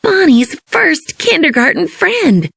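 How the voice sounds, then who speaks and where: A woman voicing a small, anxious and devoted handmade toy in a children's read-along, earnest and excitable. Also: very high voice, slow pace, vocal fry, dry recording, studio quality, pitched above the performer's own voice, heavily acted. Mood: eager.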